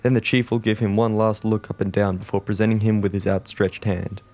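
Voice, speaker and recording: A man narrating a comic pitch in a deadpan, wordy, theatrical storytelling way. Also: brisk.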